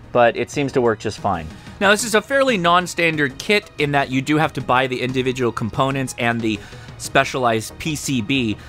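A man's voice: medium pitch, fairly bright tone. Casual American man presenting, faint music behind. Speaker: host of a ham radio video channel. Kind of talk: casual instructional product demo to camera. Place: indoor home studio and workbench.

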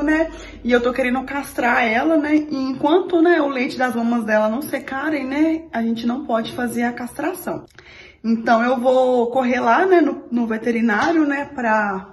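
A woman in her thirties talks to her phone camera in a hallway at home, casual and chatty. Her voice sits a little high, and she keeps tagging her phrases with a quick question. Faint music plays under her. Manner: chatty, ends nearly every phrase with a tag question. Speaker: vlogger. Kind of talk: casual vlog chat to camera. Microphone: phone camera held at arm's length.

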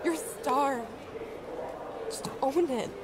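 A woman's voice: sits high, takes it slowly, very noisy. An actress playing a sincere young woman athlete saying farewell in a video game cutscene. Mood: wistful, tender. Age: young adult.

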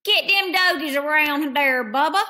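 A woman's voice: high voice, measured pace, sharp tone. A woman voicing a hateful, lazy young cowboy stepbrother who bosses everyone with a twangy drawl. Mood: bossy.

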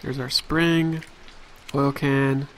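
Male let's play host, mid-pitched, casual running commentary, light background noise. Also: level tone, extremely slow, fairly bright tone, rough recording. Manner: chatty, thinking aloud.

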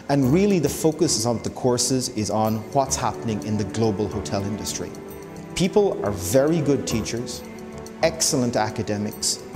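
A man's voice: fairly low pitch, long reverb tail. A man giving a confident promotional interview about a business program, filmed in an office.

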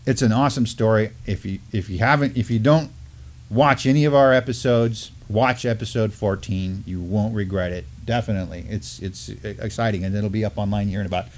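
A man's voice: on the low side, brisk. A man in his fifties co-hosting a news talk, telling stories and commenting conversationally in an earnest, emphatic way. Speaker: co-host of a Native news live stream. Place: indoor studio at a desk with a backdrop.

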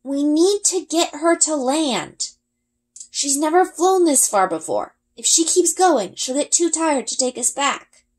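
Woman voicing a worried boy, high and urgent. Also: animated, unhurried. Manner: raised, urgent calling. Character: worried young boy shouting over wind.

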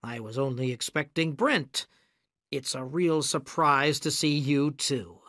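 A man voicing an excitable, easily flustered movie studio boss in an audiobook story, with emphatic delivery. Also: medium pitch, unhurried, dry recording. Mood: surprised welcome.

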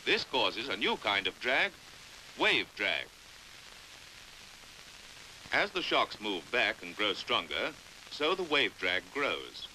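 British male narrator, vintage science film. Very bright, forceful voice with lively intonation, precise delivery, old hissy soundtrack. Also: fairly low pitch, slow, pauses often, rough recording. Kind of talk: scripted narration, 1950s educational film.

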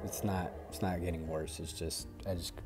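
Congested young male gaming YouTuber with a deep voice, loud and profane, over loud game music. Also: flat delivery, moderate pace. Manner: swears often, reacts loudly to the game. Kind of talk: casual gameplay commentary.

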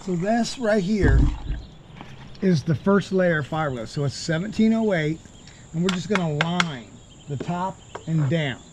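A man's voice: a little high, measured pace, background noise. A middle-aged man in a casual how-to style, explaining his boat repair step by step while recording outdoors at close range in a boatyard. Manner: relaxed, explanatory, walking through each step. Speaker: boat refit vlogger. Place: outdoor boatyard under a hull. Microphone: handheld camera at close range.

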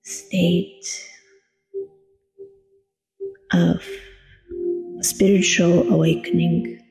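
Very slow, creaky-voiced woman guiding meditation. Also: lowish voice, little pitch movement, warm tone. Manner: soothing, deliberate, long pauses between short phrases. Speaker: meditation guide, coach. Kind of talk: guided meditation narration. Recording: long reverb with music behind. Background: meditation music.

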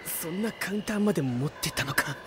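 An actress playing a blunt, sarcastic, easily rattled schoolboy in an anime audio drama, with casual boyish speech. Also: female voice, deep voice, lively intonation, quick pace. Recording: music behind the voice.

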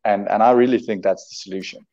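A man's voice: fairly low pitch, fairly even intonation, fast talker, warm tone. A South African man in his twenties whose first language is Afrikaans, talking eagerly as an entrepreneur guest on a video call from home, often repeating words as he builds his point. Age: 20s. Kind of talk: casual video-call interview. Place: home room indoors. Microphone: webcam on a video call. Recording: dull lo-fi video-call audio.

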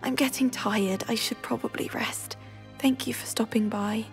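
A young woman with a British accent playing a gentle, weary, resigned teenage witch in a video game, speaking softly. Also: on the high side, fairly even intonation, moderate pace, gravelly. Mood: resigned, sad. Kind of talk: video game character dialogue. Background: background music.

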